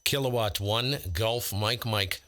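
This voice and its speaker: Man, low voice, ham radio operator, exasperated muttering, live contest stream, faint noisy background. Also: slow, a bit bright.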